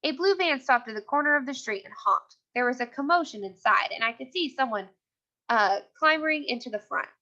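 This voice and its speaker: A woman who is a teacher reading a children's chapter book aloud in an animated, dramatic way. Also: high voice, fairly quick, highs cut off.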